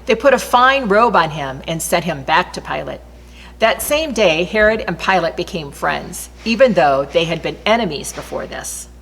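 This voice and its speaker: A woman reading aloud from the Bible as an expressive scripture narrator. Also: on the low side, moderate pace, creaky. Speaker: scripture reader. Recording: long reverberant hall echo.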